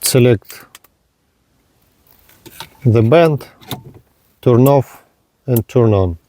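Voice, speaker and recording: A man narrating a hands-on product demonstration in halting, simple step-by-step phrases. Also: mid-range voice, warm tone. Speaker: product reviewer.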